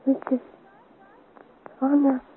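A scratchy, tinny old radio drama recording of a frail young woman on her deathbed. She speaks very slowly in a fairly high voice, halting and mournful, with resigned weakness. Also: monotone. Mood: urgent, failing. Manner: halting, weak, broken phrases. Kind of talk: 1950s radio serial acting.

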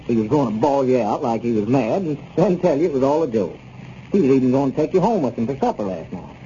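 An actor playing a well-meaning small-town neighbor in a 1930s radio comedy, placating and folksy. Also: male voice, mid-range voice, big pitch swings, fast, warm tone, lightly acted. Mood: earnest, placating. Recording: noisy dull 1930s radio recording.

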